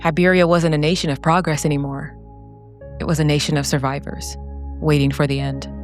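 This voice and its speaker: Solemn low-key woman storyteller over music. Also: level tone, fairly quick. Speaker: solo role-playing narrator. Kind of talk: scripted dramatic storytelling narration. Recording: background music under the voice.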